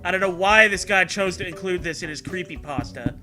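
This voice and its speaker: A man's voice: high-pitched, a little fast, over music. A man co-hosting a comedy show, giving casual commentary in a crude, profane, riffing manner.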